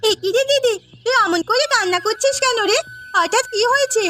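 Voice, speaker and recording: A woman's voice: very high voice, a little fast, pushed and bright, faint music behind. A woman voicing an eager, outspoken young village girl with a thin, childlike voice in a cartoon story. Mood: worried. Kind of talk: Bengali cartoon story voice acting.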